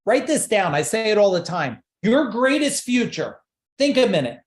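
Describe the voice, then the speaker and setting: A man's voice: sits high, very animated, moderate pace. Pumped up coach guy firing off questions, echoey room sound. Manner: emphatic, repetitive, rhetorical questions. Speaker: business coach. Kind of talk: live online seminar pitch.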